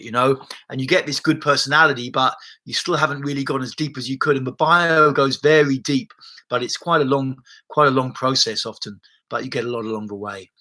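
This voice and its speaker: A man's voice: mid-range voice, bright, forceful tone. A man, a body-based therapist chatting loosely and rambling through viewer comments on his livestream. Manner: rambling, loose and conversational with frequent restarts and swearing. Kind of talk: casual livestream chat answering viewer comments. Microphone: laptop mic.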